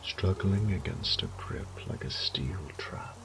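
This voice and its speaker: A man playing a suave, sadistic vampire lord in a horror audio roleplay, with a theatrical, ornate, taunting delivery that often drops to a breathy whisper. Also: low-pitched, takes it slowly, music in the background, full character voice, low-quality recording. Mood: gloating, sinister. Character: suave, sadistic male vampire lord toying with his captive prey.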